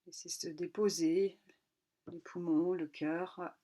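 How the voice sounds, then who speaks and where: A woman yoga nidra instructor leading a guided relaxation in a calm, unhurried way, pausing between short instructional phrases. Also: on the low side.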